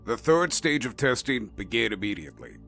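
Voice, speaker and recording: A man's voice: mid-range voice, big pitch swings, a little fast, vocal fry, a bit bright, soft background music. A man narrating in the scripted, formal style of a clinical scientific report.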